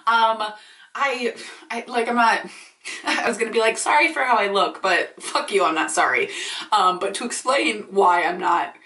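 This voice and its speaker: A woman's voice: medium pitch, normal speed. Chatty, profane young woman in echoey room. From Michigan. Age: 20s. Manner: chatty, profane, animated, ranty. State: congested from allergies. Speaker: vlogger. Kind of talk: casual ranting vlog. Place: small indoor room. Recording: roomy echo, slightly distant.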